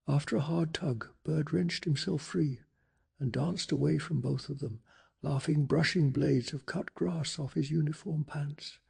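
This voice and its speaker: Soft-spoken, breathy man with heavy creak. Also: on the high side. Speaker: ASMR storyteller. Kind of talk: soft-spoken ASMR bedtime story narration.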